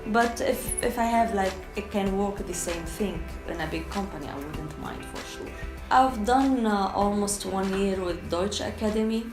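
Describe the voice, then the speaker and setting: A woman's voice: mid-range voice, normal speed, echoey room, music in the background. A Syrian woman with an Arabic accent talking candidly in a casual documentary interview, often hesitating as she searches for words in her second language. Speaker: documentary interviewee, freelance translator.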